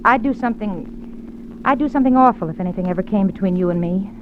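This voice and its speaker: Actress as intense, suspicious wife on tinny old radio. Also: female voice, mid-range voice, fast talker, natural voice. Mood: intense, possessive. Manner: searching, hesitant, softly menacing. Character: suspicious, possessively devoted wife, quietly intense. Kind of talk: 1940s-50s radio drama acting. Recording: tinny, noisy vintage radio broadcast.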